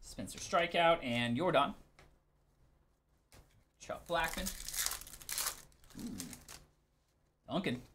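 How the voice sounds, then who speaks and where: A man's voice: fairly high pitch, lively intonation, extremely slow, pauses often, fairly bright tone, a little hiss or hum. Man calling out cards casually. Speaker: host of live sports card breaks. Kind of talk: casual live commentary on card openings.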